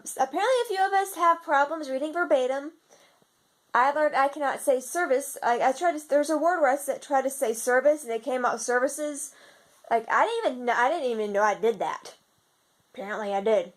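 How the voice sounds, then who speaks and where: A young woman with a slightly raspy voice, chatting in a casual video diary and rambling with lots of fillers and restarts. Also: high-pitched. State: slightly raspy from seasonal congestion. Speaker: vlogger.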